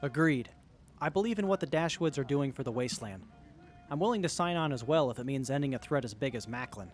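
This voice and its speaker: A man's voice: mid-range voice, lively intonation, fast talker, natural voice. A man acting in an audio drama as an earnest, principled member of a wasteland crew. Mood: earnest, resolute.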